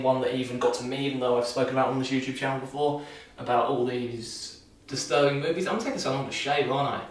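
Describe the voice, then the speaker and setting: Young man rambling in an echoey room. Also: medium pitch. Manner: loose and chatty with frequent restarts and dry sarcasm. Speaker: vlogger. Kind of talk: casual rambling film review. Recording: echoey room, mic far off.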